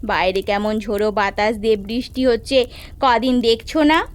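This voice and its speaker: A woman's voice: on the high side, steady tone, moderate pace, natural voice. A woman voicing a stern, proud older mother-in-law in a cartoon story, reproachful and emphatic. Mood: scolding. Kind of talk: animated story character acting.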